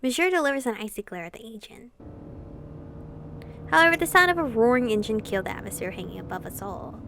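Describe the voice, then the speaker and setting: A woman's voice: a little high, quick pace, a little hiss or hum. A woman hosting a let's-play, reading visual novel dialogue aloud and reacting theatrically with plenty of swearing. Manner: expressive, foul-mouthed, theatrical reactions.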